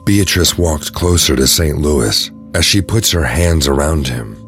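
Male narrator, bass register, scripted audio drama storytelling over soft music. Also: animated, unhurried.

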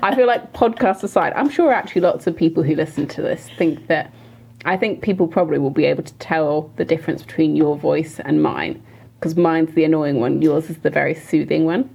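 A young woman co-hosting a chatty podcast. She speaks low with a gravelly fry, laughs a lot and swears offhandedly. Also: fairly quick, a little mellow. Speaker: podcast co-host. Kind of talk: casual chat.

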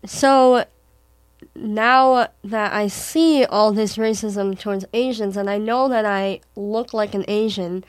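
Teen girl, Wisconsin accent, unhurried and thoughtful, clear voice, close and dry. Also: medium pitch, steady tone, no echo. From Wisconsin, USA. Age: teens. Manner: thoughtful, halting, with frequent restarts. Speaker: daughter in conversation with her mother. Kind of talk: casual conversation.

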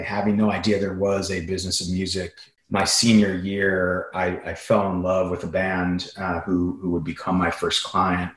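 A man's voice: low voice, echoey room, poor audio. A man who runs an artist management company, speaking casually on a panel and often restarting his sentences. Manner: halting, repeats words when starting a thought.

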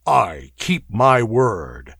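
A man's voice: medium pitch, big pitch swings, very slow, creaky, fairly bright tone, breathy edge, no echo, studio quality, exaggerated voice. A man voicing a proud, resentful male barbarian warrior in a fantasy audiobook. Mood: sullen resentment. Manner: resentful, blunt.

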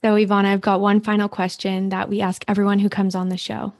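A dry, echo-free recording carries a woman co-hosting a podcast. Her pitch is average and stays very even as she puts questions to a guest. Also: fast talker. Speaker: podcast co-host, interviewer.

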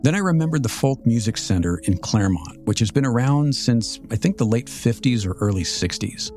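A man in his fifties with an American accent, telling a scripted personal story as a podcast host in a measured, reflective, articulate way. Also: medium pitch, animated, darkish tone. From Southern California, United States. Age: 50s. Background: music.

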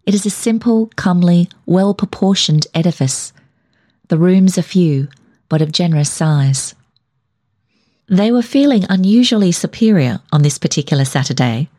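A woman reading a short story as scripted narration, in a measured storytelling manner. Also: lowish voice, expressive, warm tone. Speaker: story reader.

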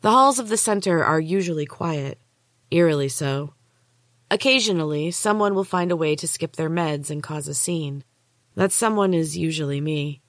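Woman storyteller, fairly low voice, very dry sound. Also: moderate pace, no room sound. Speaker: podcast host and author. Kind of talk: first-person fiction storytelling, read aloud.

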